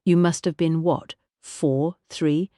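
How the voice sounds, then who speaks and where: A narrator voicing a tall, glamorous American woman entertainer with a soft, smooth American accent, affectionate and motherly. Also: low voice, fairly even intonation, slow pace, no room sound, studio quality. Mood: confiding. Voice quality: smooth, honeyed drawl. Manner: affectionate, calls everyone honey.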